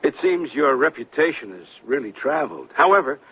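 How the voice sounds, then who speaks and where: An actor playing a genial, authoritative, slyly amused state governor, measured and official, in a 1970s radio drama. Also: male voice, medium pitch, big pitch swings, husky. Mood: explaining. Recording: dull 1970s radio recording.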